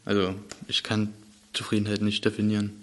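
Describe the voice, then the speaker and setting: A man's voice: on the low side, completely flat delivery, fairly quick, a bit bright. A nineteen-year-old man in a casual group chat, giving short mumbled answers. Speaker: podcast participant. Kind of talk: casual round-table chat.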